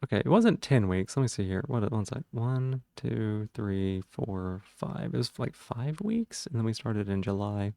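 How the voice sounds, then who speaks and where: Man, gravelly voice, sore throat, casual livestream chatter, thinking aloud, mellow tone. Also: lowish voice, lively intonation, measured pace, hardly any pauses, no echo. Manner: rambling, thinks aloud while checking things. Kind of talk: casual livestream chat with viewers.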